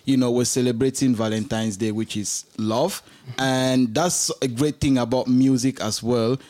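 A man from Cameroon talking on the radio in an earnest, halting way, often repeating words. His voice sits at a middle pitch. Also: steady tone, a little mellow. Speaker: radio co-presenter. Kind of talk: casual radio chat.

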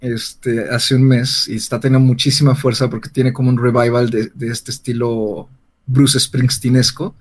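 Guy on a podcast just chatting, kinda rambly and hesitant when telling stuff, mid-pitched voice. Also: little pitch movement, moderate pace. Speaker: podcast panelist. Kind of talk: casual chat.

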